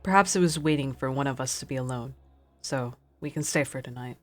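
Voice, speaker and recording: A woman's voice: extremely low pitch, brisk, soft background music. A woman playing a stern captain of explorers, firm and commanding, in a radio drama.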